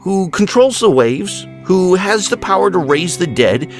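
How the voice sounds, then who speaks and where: A man voicing a cocky, excitable male follower in an audiobook, with emphatic, swaggering, rhetorical delivery. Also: high voice, a little slow, voice pushed up. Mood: fired up. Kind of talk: acted audiobook dialogue. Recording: music behind the voice.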